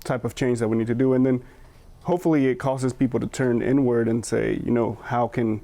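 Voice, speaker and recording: A man speaking as a panelist, thoughtful and hesitant, pausing often to find his words. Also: medium pitch, fairly even intonation, gravelly, warm tone. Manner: thoughtful, hesitant, with many fillers and restarts. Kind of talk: reflective panel discussion.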